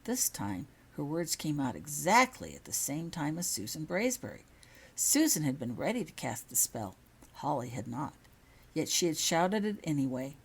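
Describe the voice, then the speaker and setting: Low, husky woman reading a story aloud. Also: very animated, normal speed. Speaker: author reading own fan fiction. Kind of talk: scripted storybook narration.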